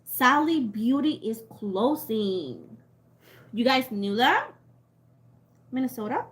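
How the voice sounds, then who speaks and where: A young woman with a Puerto Rican accent chats loosely with her livestream viewers, her voice sitting a little high. She rambles from one thing to the next, laughs a lot and swears offhandedly. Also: big pitch swings, slow, a bit bright. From Puerto Rico. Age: young adult. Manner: chatty and rambling, laughs often, swears casually. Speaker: tarot reader. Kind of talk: casual livestream chat with viewers.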